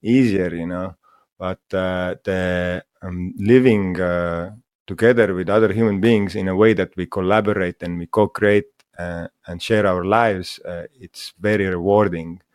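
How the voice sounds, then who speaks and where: A man from Estonia, a guest in an interview, speaking English in a halting way with many fillers and restarts. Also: sits low, fairly even intonation, a little mellow, no echo, studio quality. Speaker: guest, mushroom school founder. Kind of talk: casual interview talk.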